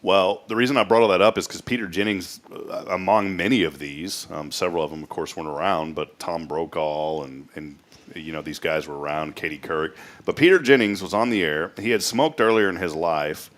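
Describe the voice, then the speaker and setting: A man's voice: deep voice, fairly quick, a bit bright. An American man in his late thirties hosting a talk show in a casual, folksy, rambling way. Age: late 30s. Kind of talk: casual chatty monologue.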